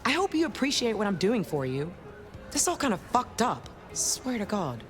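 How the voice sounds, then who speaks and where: A woman's voice: medium pitch, big pitch swings, moderate pace, music in the background, natural voice. An actress playing a domineering, teasing, sardonic vampire woman in a video game, commanding and mocking. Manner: commanding, mocking, casual slangy wording.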